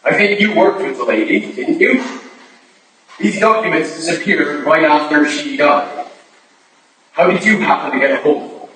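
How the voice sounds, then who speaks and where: Far from the microphone in a very echoey hall, an actor plays a Victorian police officer. His voice is slightly high, with a measured, insistent courtesy suited to stage drama. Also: lively intonation, background noise, natural voice. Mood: accusing.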